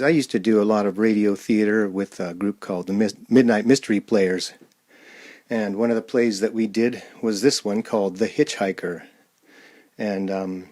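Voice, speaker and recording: A man hosting casually, with a halting, off-the-cuff way of talking. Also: on the low side, a little mellow. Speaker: host and amateur radio-play performer. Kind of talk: casual introduction to an audience.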